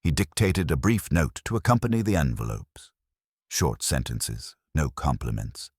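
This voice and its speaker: Male narrator, wry first-person detective fiction. Very low, slightly rough voice, close dry sound. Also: very animated, moderate pace, no echo.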